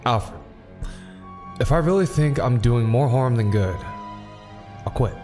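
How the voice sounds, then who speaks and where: A man's voice: mid-range voice, expressive, unhurried, over music, natural voice. A man playing a brooding, principled vigilante in an audio drama, terse and serious. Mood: troubled, resolute.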